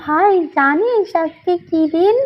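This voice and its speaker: A woman's voice: very high voice, lively intonation, slow, lo-fi sound, slight background noise, heavily acted. A woman voicing a little village girl, an eager, responsible elder sister in a children's doll story.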